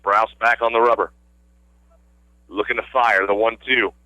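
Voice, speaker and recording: Bright-voiced man calling baseball play-by-play. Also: lowish voice, steady tone, normal speed, no echo, lo-fi sound. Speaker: radio play-by-play announcer. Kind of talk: live baseball play-by-play commentary. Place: outdoor ballfield broadcast position.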